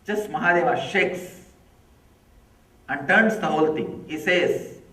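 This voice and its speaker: A middle-aged man with Tamil as his first language, giving a spiritual discourse slowly and emphatically, repeating key phrases. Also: on the high side, pushed and bright. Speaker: spiritual teacher. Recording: echoey hall from far off.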